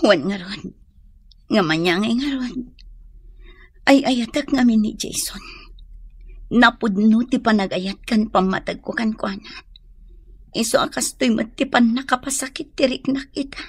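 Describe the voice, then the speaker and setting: A heartbroken young Ilocano mother in a radio drama. Her voice is fairly high and husky, plaintive and lovesick, recorded dry and close. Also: unhurried, pauses often, no room sound. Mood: sorrowful. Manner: plaintive, emotional.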